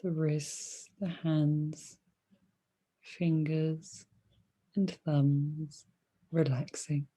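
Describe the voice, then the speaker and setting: A woman leading a guided meditation as a teacher, speaking softly and soothingly in short phrases with long pauses between them. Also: low-pitched, flat delivery. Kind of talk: guided meditation, body scan.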